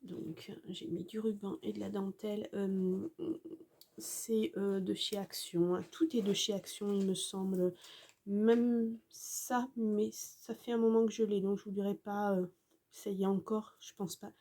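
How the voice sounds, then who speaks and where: Husky-voiced woman with a head cold. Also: medium pitch, steady tone, measured pace, mellow. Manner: chatty, hesitant, many fillers, apologetic. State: has a cold, congested. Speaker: scrapbooking crafter. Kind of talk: casual craft show-and-tell.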